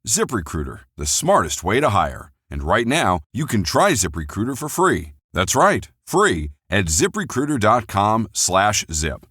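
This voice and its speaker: A man's voice: on the low side, very animated, a little slow, bright, forceful tone, breathy edge, no room sound, studio quality. A man reading a scripted commercial as an announcer. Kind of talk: scripted ad narration.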